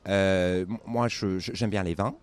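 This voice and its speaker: A man's voice: low-pitched, steady tone, measured pace, clear, resonant tone. A man with a British accent, speaking as a wine competition judge in an interview outdoors at a fair, hesitant with many restarts.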